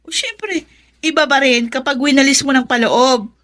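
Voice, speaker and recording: A woman's voice: sits high, moderate pace, fairly bright tone. An actress with a Filipino accent playing a fussy, superstitious, nagging woman in a radio drama. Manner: nagging, exasperated.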